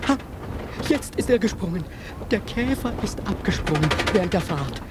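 An actor playing an excited male asylum patient eagerly commenting as he watches a beetle jump, in a radio play. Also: high voice, normal speed, background noise.

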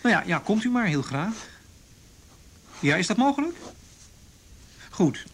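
An actor playing a careful juvenile police detective in a measured, reassuring, naturalistic radio drama manner. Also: male voice, high voice, very animated, husky, exaggerated voice. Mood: polite, tactful.

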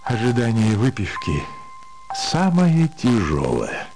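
A man's voice: on the low side, wildly swooping pitch, takes it slowly. A man narrating a dramatised literary story as part of an audio play. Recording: loud music under the voice.

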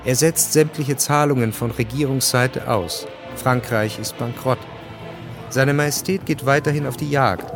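A man's voice: mid-range voice, unhurried, over music. A man narrating a literary radio drama from a script, precise and matter-of-fact.

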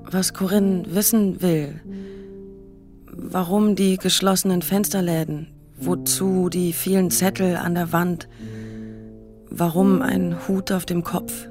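A woman's voice: lowish voice, fairly even intonation, slow. A woman narrating a literary radio play from a script. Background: guitar music.

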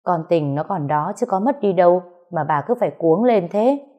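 A woman's voice: lowish voice, animated, moderate pace, dark tone, highs cut off, faint music behind. A woman voicing a proud, hot-tempered older father who scolds his son harshly in an audio story. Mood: calm reproach.

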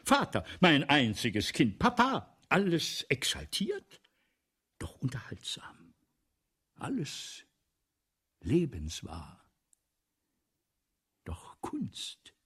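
A man acting in a German radio play as a grandiose, excitable playwright, pompous and theatrical, moving between whispers and outbursts. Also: fairly high pitch, very slow, long pauses, slightly rough, exaggerated voice. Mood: exalted, theatrical. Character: grandiose, excitable male playwright scheming a lurid melodrama.

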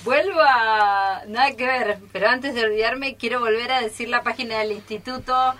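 A woman's voice: fairly high pitch, normal speed, a bit bright. A woman with an Argentine Spanish accent chatting casually on live radio. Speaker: theatre workshop teacher. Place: radio studio, live broadcast.

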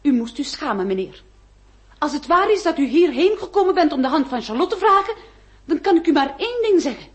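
Haughty marchioness, radio play, very high-pitched, animated intonation, pompous formal diction, indignant. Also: female voice, brisk. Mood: outraged, scornful. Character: haughty, indignant aristocratic marchioness, mother of a marriageable daughter.

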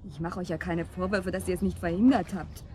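A woman's voice: lowish voice, fairly even intonation, a little fast, mellow, close to an everyday voice. An actress playing a disillusioned young woman, frank and resigned, in naturalistic radio drama. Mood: frank, pressing. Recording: background noise and ambience.

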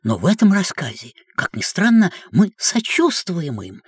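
A woman's voice: fairly low pitch, moderate pace, husky, no echo, clean studio sound. A woman playing a kindly, encouraging teacher who guides children through stories in a scripted educational audio drama. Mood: sympathetic. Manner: expressive, encouraging.

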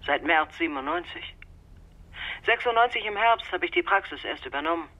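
An actor playing a brisk, wry general practitioner in a radio drama, heard through a telephone filter. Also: male voice, sits high, very animated, pushed and bright, full character voice. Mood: businesslike. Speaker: doctor in private practice. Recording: muffled, husky telephone line sound.

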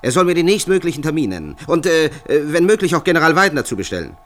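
An actor in a German radio play playing an officious, self-important chief programmer, commanding and formal. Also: male voice, on the high side, big pitch swings, fast talker, fairly bright tone, faint music behind. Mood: excited, urgent. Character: officious computer-centre chief, self-important, excitable man.

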